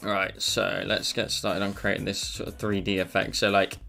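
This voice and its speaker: A man's voice: deep voice, monotone, normal speed, creaky, sharp tone. A man chatting casually with viewers while he teaches a live digital drawing session. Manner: chatty, reads and answers viewer messages. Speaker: digital art streamer. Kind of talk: casual live-stream tutorial chat. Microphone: headphones on, desk mic. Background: faint background music.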